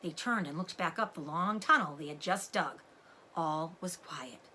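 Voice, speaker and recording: A woman's voice: sits low, normal speed, husky. A woman reading a children's story aloud expressively, like a teacher explaining things to young listeners. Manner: animated, explains words to children. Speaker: teacher-like read-aloud host.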